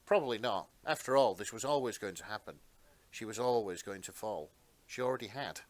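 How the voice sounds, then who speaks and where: Lower-voiced man narrating fan fiction dramatically. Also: animated, fairly quick, no room sound. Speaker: narrator, podcast host.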